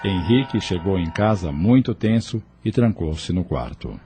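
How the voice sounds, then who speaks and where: A man narrating an audio drama in a measured, even storytelling manner. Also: deep voice, a little hiss or hum. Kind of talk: scripted narration.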